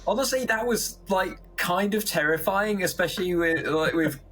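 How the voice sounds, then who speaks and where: A man's voice: sits high, normal speed, crowd noise. A man chatting casually with fellow players after a game. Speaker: player in a role-playing game. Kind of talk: casual group chat.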